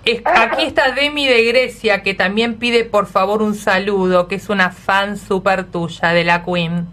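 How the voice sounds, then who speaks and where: Medium-pitched woman on radio. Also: fairly even intonation, unhurried, a bit bright. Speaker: radio co-host. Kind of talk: casual radio interview.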